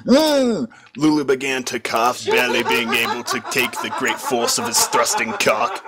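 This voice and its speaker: A man's voice: mid-range voice, little pitch movement, normal speed, pushed and bright, faint music behind. A man reading a comic fan fiction story aloud as scripted narration.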